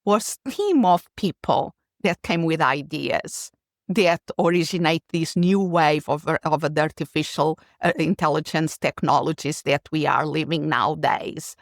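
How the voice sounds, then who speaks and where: Portuguese-accented woman, low and dry. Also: measured pace, no room sound. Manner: thoughtful, explanatory, occasional restarts. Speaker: keynote speaker, guest. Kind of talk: interview answer.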